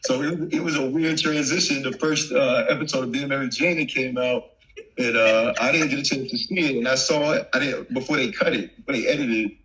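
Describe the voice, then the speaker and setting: A man in his thirties from Virginia with a softened Southern accent, a former football player turned actor chatting easily as a guest, laughing often and full of fillers. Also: mid-range voice, a little fast, highs cut off. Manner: relaxed, laughs often, many fillers and restarts. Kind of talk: casual interview chat. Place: home, remote video call. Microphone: video call.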